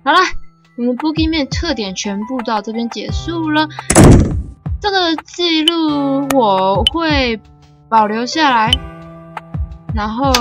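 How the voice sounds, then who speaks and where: A woman streamer from Taiwan, chatting playfully and halting when speaking English. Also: high-pitched, muffled recording. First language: Mandarin. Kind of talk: live game stream chat.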